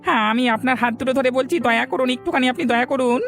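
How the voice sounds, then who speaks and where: A woman's voice: high-pitched, fast talker, faint music behind. A woman playing a poor village tenant, beseeching a landlord in a melodrama.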